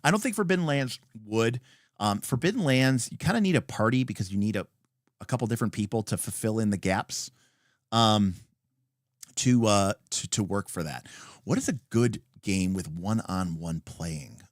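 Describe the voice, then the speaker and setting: A man hosts a casual livestream with very lively, swinging intonation. He rambles and thinks aloud, then lands his opinions with emphasis. The recording is close and dry, with little sense of a room around him. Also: fairly low pitch, moderate pace, dry recording. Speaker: livestream host. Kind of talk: casual unscripted livestream chat; reading and answering viewer comments.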